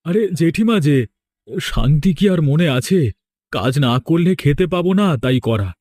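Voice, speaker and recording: A man's voice: a little high, animated, unhurried, darkish tone, no room sound. A man playing a sombre, middle-aged villager in a horror cartoon, earnest and subdued. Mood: worried.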